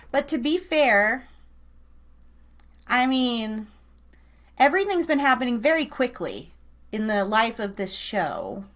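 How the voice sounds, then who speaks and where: A woman hosting a live radio show, chatting casually and playfully with listeners and adding mock-dramatic asides. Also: high voice. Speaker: radio show and podcast host.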